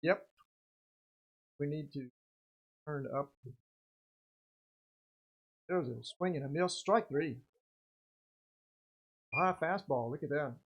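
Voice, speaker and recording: A baseball play-by-play commentator with a slightly rough, husky voice at a middling pitch. The delivery is casual and folksy, drifting between live calls and relaxed conversation with short exclamations. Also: slow pace, long pauses, highs cut off.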